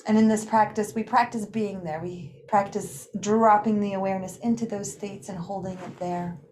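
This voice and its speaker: A woman leading a guided relaxation practice in an unhurried, soothing manner, pausing often between phrases. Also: medium pitch, steady tone, a little mellow, slight background noise. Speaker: guided meditation teacher. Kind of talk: guided yoga nidra relaxation.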